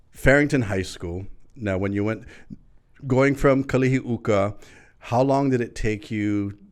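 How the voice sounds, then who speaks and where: A middle-aged man hosting a casual talk show, lively and chatty, often laughing at himself. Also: sits low. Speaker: host.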